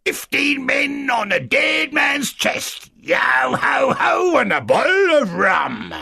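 A man voicing an eerie ghostly pirate in a storybook, calling out in drawn-out, wailing shouts. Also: very high voice, sing-song, gravelly, dry recording, studio quality, voice pushed up, exaggerated voice. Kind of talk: dramatised storybook reading.

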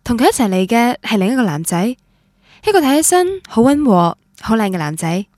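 A woman's voice: a little high, sing-song, moderate pace, a little mellow, dry recording, studio quality. An actress with a Cantonese accent playing a romantic, stubborn young woman who tells her love story in a radio drama. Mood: wistful. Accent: Cantonese, Guangdong. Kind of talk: radio drama narration and dialogue, introspective first-person.

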